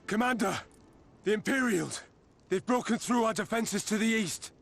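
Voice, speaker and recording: An actor playing an anxious, dutiful soldier giving urgent reports in a fantasy war game. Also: male voice, sits high, moderate pace, gravelly, fairly bright tone, slight background noise. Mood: alarmed, urgent. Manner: urgent, formal military reporting. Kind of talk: dramatic video game voice acting.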